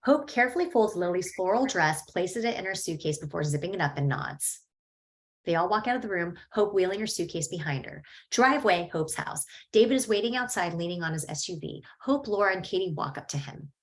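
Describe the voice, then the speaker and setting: A woman reading a screenplay's stage directions aloud at a table read in a plain, measured way. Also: fairly low pitch, animated.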